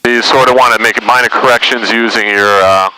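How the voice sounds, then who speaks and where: A man's voice: medium pitch, fairly even intonation, brisk, bright, forceful tone. A man working as a flight instructor, explaining procedures step by step in an instructive way inside a small airplane. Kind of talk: calm step-by-step cockpit instruction. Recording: very noisy light-aircraft cockpit, distant at times.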